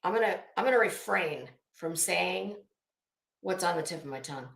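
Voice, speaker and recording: A woman's voice: on the low side, animated, moderate pace, creaky, a bit bright. A middle-aged woman giving an unscripted, rambling commentary rant in a sardonic, scornful, sweary manner. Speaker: online commentary vlogger. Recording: echoey room.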